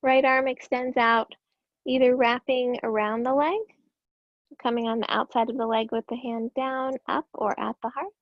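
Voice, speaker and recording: A woman with a raspy voice teaching a yoga class, giving calm, gentle cues timed to the breath. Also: on the high side, moderate pace, no room sound, muffled recording. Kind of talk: guided yoga class instruction.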